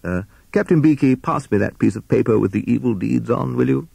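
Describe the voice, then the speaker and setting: A man's voice: medium pitch, a little fast, creaky, warm tone, breathy edge, no room sound, pitched above the performer's own voice. A man voicing a pompous, self-important owl lawyer in a children's story, with an orator's legal manner. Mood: impatient.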